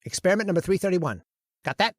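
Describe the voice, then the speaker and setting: A woman's voice: on the low side, sing-song, quick pace, no room sound, studio quality. A woman voicing a manic, arrogant male scientist in a radio horror play, bullying his assistant and gloating in frantic outbursts. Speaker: voice actor. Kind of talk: old-time radio drama acting.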